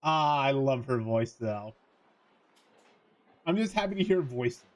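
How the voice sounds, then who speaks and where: A man chatting casually as he streams a visual novel, speaking haltingly and trailing off mid-thought. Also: medium pitch, animated.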